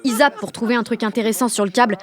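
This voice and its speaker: High-voiced, slangy, sardonic teenage girl played by an actress. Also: steady tone, fast talker, close to an everyday voice. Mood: wry. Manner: colloquial, heavily slangy, sardonic. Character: blunt, slangy, fiery sixteen-year-old French girl. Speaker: lead actress and narrator. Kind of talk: first-person narration in an audio drama.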